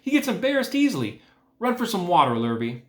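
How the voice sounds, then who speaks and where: A man voicing a blustery, excitable farmer from a storybook, hollering his lines. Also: high-pitched, a little fast, voice pushed up, full character voice. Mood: anxious, defensive. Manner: hollering, exclamatory. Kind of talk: storybook character voice. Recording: echoey room.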